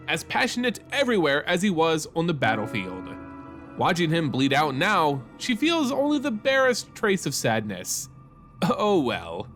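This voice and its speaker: A man's voice: on the high side, a bit bright. A man reading a fantasy story aloud as narrator, in a dramatic scripted style.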